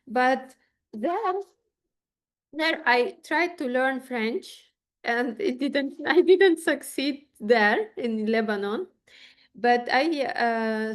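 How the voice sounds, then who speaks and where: A woman from Ukraine speaks as an interview guest, in a fairly high voice with a Ukrainian accent. She talks casually and a little haltingly, feeling for the right words. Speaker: interview guest, musician and choir teacher.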